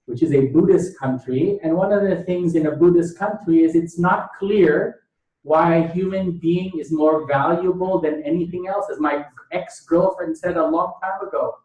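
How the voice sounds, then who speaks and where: A man's voice: fairly high pitch, moderate pace, darkish tone. Emphatic male lecturer in an echoey room. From Thailand. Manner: emphatic, repeats phrases, poses rhetorical questions. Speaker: lecturer, bioethicist. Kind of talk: lecture with question and answer. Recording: echoey room, distant mic.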